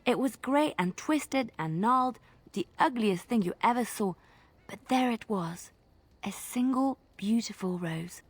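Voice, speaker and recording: A woman's voice: a little high, expressive, measured pace, slightly rough, dry recording. An actress playing a devout, dreamy young woman bard in a video game, earnest and wistful. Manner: earnest, wistful, drops to a whisper.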